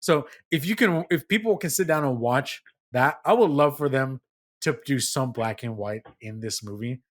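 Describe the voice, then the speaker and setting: A man's voice: medium pitch, moderate pace. Casual man hosting a gaming podcast. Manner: animated, steers the talk with questions. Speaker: podcast host.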